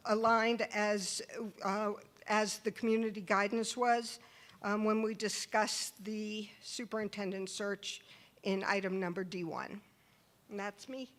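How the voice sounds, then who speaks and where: A woman on a school board speaking slowly and carefully at a meeting, with many pauses and "um"s. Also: medium pitch. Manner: slow and measured, with many fillers.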